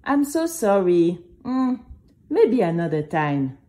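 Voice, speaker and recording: A courteous, busy mom as a woman storyteller plays her in a children's audio drama. She talks at a slow, measured pace with expressive, moving pitch and polite hesitation. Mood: apologetic. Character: busy, polite mother, a seamstress, mildly questioning.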